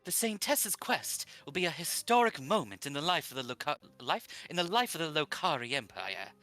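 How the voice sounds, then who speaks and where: Casting: woman plays courteous male high priest for a game, low bright voice, music behind. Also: very animated, brisk. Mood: earnest. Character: earnest male high priest volunteering for a quest. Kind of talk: visual novel character read aloud.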